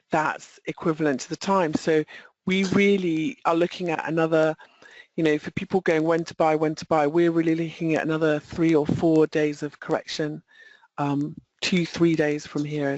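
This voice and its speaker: A woman's voice: low-pitched, darkish tone, dry recording. A British-accented woman giving informal market analysis in a conversational, self-deprecating manner on a webinar. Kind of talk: informal chart commentary. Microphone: webinar call. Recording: dry, muffled webinar audio.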